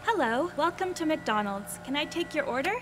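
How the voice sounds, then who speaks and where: Woman playing a very high-pitched, rattled cashier. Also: expressive, moderate pace, music in the background. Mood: polite. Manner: scripted customer-service politeness cracking under panic. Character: rattled, tearful female fast-food cashier with polite service patter. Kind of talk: comedy sketch acting.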